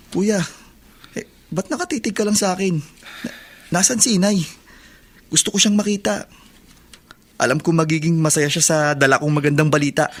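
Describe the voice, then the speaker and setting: A man's voice: on the high side, a bit bright, a little hiss or hum, close to an everyday voice. An actor playing an eager, devoted young man freed from prison, with a Filipino accent and an earnest, pleading radio drama delivery. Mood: anxious, hushed.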